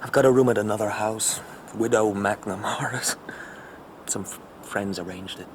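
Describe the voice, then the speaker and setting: An actor playing a tough, defiant young counterfeiter on the run in a radio drama, terse and unflinching. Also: male voice, fairly low pitch, normal speed, natural voice. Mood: tender, guarded. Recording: clearly noisy background ambience.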